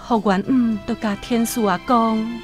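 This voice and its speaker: A woman with a Taiwanese accent narrates a scripted story for radio in an expressive, deliberate, dramatic way. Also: fairly high pitch. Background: music.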